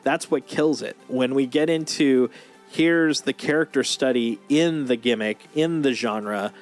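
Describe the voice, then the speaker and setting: A man hosts a casual livestream discussion in a medium-pitched voice. He thinks aloud and rambles as he shares his opinions. Soft music plays behind him. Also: unhurried. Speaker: livestream host.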